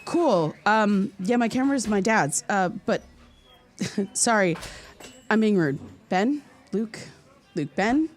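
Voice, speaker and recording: An actress playing an earnest, curious young American podcaster in an audio drama, speaking in a conversational, slightly hesitant way. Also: female voice, mid-range voice, expressive, slow pace. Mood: nervous, friendly. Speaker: podcast host character.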